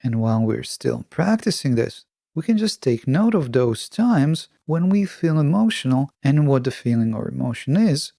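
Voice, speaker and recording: Guy doing a scripted self help podcast, earnest n instructive, gravelly creak in a soft mellow voice, very dry sound. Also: a little high, dry recording. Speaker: podcast host.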